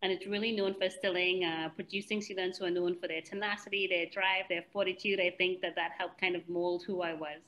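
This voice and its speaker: A woman's voice: on the low side, steady tone, a little fast, hardly any pauses, a bit bright, muffled recording. A middle-aged woman from Trinidad and Tobago, giving a chatty, self-deprecating business presentation. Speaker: guest speaker, spa owner.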